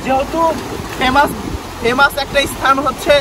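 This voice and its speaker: A man's voice: very high voice, level tone, moderate pace. Chatty young man vlogging from the surf. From Bangladesh. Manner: chatty, loose, with many fillers and English words mixed in. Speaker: travel vlogger. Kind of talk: casual travel vlog to camera. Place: outdoors in the sea and on a beach. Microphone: phone held at arm's length. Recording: windy beach noise and surf.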